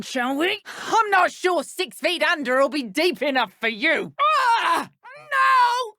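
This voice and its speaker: Sneering British dark witch, very animated. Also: sits high, no room sound, clean studio sound, heavily acted. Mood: mocking, then angry, alarmed. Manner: taunting, contemptuous. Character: menacing, sneering dark witch poacher, adult woman. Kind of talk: video game character voice lines.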